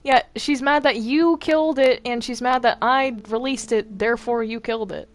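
A young woman with a high voice plays a video game with friends. She talks casually, trading banter as one player in the group. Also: normal speed.

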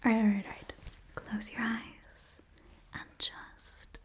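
A woman's voice: fairly high pitch, level tone, slow. A young woman reading scripted material in an ASMR whisper, close to the microphone. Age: young adult. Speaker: ASMR creator reading a sponsor message.

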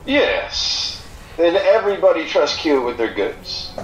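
A man playing a cryptic alien fence and trader in an audio drama, speaking in halting, deliberate riddles. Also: a little high, very animated, echoey room, lo-fi sound, soft background music. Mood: mysterious, scheming.

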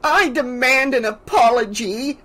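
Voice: medium pitch, measured pace, a bit bright, breathy edge. A narrator performs a scheming, spiteful woman from an audiobook novel. She is imperious and hammy, with a theatrical air of wounded dignity and menace. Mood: indignant.

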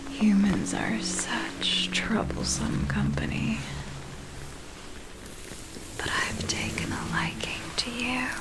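A woman voicing a possessive, menacing yet tender vampire captor in an ASMR roleplay, in a breathy, hypnotic whisper. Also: fairly high pitch, low-quality recording. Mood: possessive, musing. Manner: slow, drawn-out, hypnotic delivery.

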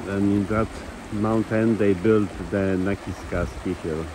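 A man with as a giving slow, enthusiastic commentary into a phone while filming outdoors at a ski hill and a mountain river. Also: fairly low pitch, fairly even intonation, noisy background. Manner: slow, enthusiastic, simple phrasing with pauses. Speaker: vlogger.

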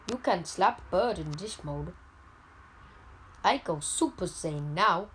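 Slow, low, deadpan voice with an Indian accent. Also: female voice, a little hiss or hum, low-quality recording. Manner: deadpan, halting, joking persona. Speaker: gaming YouTuber. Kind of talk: comedic gameplay commentary.

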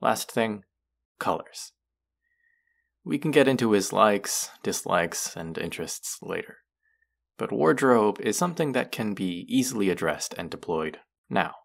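A man's voice: on the low side, sing-song, measured pace, creaky, heavily acted. A man playing a condescending, sardonic young college student, the rude twin in a scripted audio roleplay. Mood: condescending, instructing. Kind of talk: scripted roleplay addressed to the listener.

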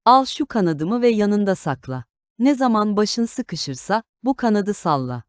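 A woman voicing a tiny grateful ant in a storybook tale, earnest, measured and gently proud. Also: medium pitch, big pitch swings, smooth, dry recording, studio quality.

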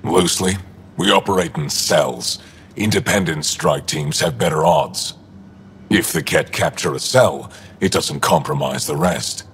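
Gruff alien commander, low gravelly voice, terse, cynical, game dialogue, ambient noise behind. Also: male voice, a little slow. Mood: curt, dry. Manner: terse, dry, blunt. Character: gruff, guarded male alien resistance leader, war-hardened and cynical. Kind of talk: video game dialogue acting.

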